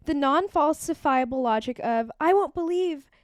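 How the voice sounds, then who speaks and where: Young woman, loud, fast-talking, high voice, dry close sound. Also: animated, no echo. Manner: loud, quick, laughing. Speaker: podcast co-host. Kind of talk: casual podcast debate.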